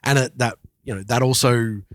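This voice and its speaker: A man in his forties chatting casually as a podcast host, in a halting way that repeats words as he thinks. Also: medium pitch, fairly even intonation, fairly bright tone, dry recording, studio quality. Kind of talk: casual chat about music and culture.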